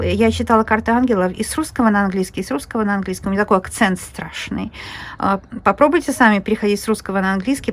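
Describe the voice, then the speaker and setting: A woman's voice: medium pitch, a little hiss or hum. A woman reading tarot cards to viewers in a rambling, chatty way, full of fillers and restarts. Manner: addresses viewers directly as friends and girls. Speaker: tarot reader. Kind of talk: casual tarot reading monologue.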